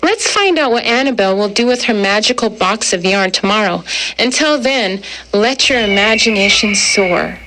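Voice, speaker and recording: A woman's voice: mid-range voice, animated, moderate pace, a little hiss or hum, rough recording. A woman librarian reading a children's storybook aloud in simple phrasing meant for young listeners. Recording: loud music behind the voice. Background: music.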